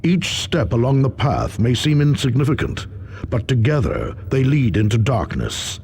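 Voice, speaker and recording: Game sage: measured, foreboding space marine psychic, middle register, sweeping inflection. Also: male voice, medium pitch, sing-song, gravelly, a little mellow, slight background noise. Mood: ominous. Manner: ominous, portentous, measured. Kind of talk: video game character acting.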